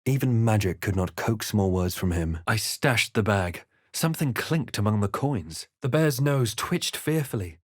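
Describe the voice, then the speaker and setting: Casting: watchful game adventurer, dramatic and measured with a dry wit, highly dynamic intonation, close dry studio sound. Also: male voice, lively intonation, dry recording. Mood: somber, tense. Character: male adventurer hero, grave, watchful, wry fantasy spellcaster. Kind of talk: video game voice lines, dramatic narration and incantations.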